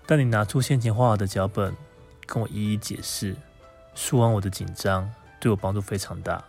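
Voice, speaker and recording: A man's voice: fairly low pitch, fairly even intonation, brisk, vocal fry, darkish tone, faint music behind. A man giving a prepared, earnest spoken message with romantic, flowery phrasing. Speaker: photographer's model leaving a recorded message.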